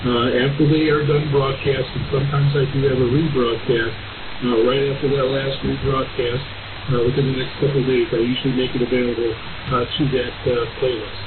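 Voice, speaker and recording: A male radio host talks through announcements in a halting way, stopping often on filler sounds and repeating words. His mid-pitched voice comes through a narrow shortwave signal with plenty of static hiss. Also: flat delivery. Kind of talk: loosely scripted radio monologue, announcements. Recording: narrow, hissy shortwave radio broadcast reception. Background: clear static hiss.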